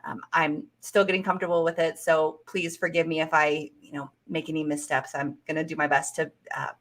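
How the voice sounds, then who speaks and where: A woman career coach giving interview advice in a measured, encouraging way. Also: low-pitched, flat delivery. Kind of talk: casual advice chat.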